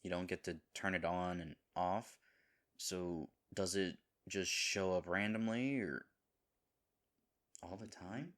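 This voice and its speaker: Deep-voiced, flustered himbo boyfriend, acted by a man. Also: a bit bright. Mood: tender, bewildered. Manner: halting, rambling, flustered. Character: sweet, dim himbo boyfriend, flustered and earnest. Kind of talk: audio roleplay acting, intimate one-sided dialogue.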